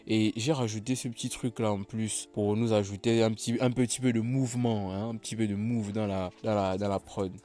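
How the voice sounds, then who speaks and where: A beatmaker talks through his production in a loose, unhurried way, pausing often to find his words. His voice sits a little low and sounds mellow and soft-edged, with a slightly stuffy tone from a cold. Quiet music plays faintly behind him. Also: fairly even intonation. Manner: laid-back, chatty, many hesitations. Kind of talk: casual tutorial narration.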